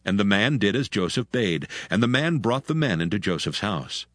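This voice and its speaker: A man narrating a dramatized Bible story in a measured, formal manner over music. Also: lowish voice, very animated, dry recording, studio quality. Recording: loud music bed under voice.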